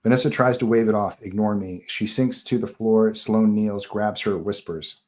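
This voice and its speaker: A man's voice: on the low side, steady tone, normal speed, a little mellow. A man narrating stage directions at a live table read of a horror screenplay, in scripted narration style.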